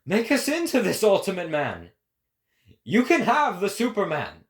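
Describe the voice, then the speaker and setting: A man puts on a jeering male heckler from a dramatic storybook mob. The voice is pushed high and loud, calling out demands with eager mockery. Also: wildly swooping pitch, a little slow, echoey room, heavily acted. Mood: mocking, clamoring.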